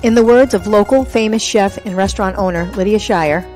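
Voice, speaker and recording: A woman's voice: medium pitch, brisk, a little mellow. Woman in a radio ad over music. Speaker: restaurant owner. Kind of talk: scripted two-person radio ad. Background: background music.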